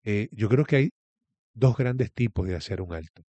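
A man's voice: on the low side, expressive, normal speed, dark tone, no echo. A man with a Venezuelan accent giving a reflective religious talk as a guest, repeating key phrases for emphasis.

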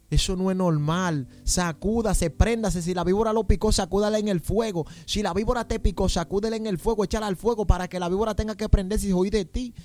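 A man's voice: on the high side, warm tone. A man preaching a fervent sermon, pleading and calling out praise between phrases. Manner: exclaims "gloria a Dios" and "aleluya" constantly, pleading. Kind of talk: fervent Pentecostal-style sermon.

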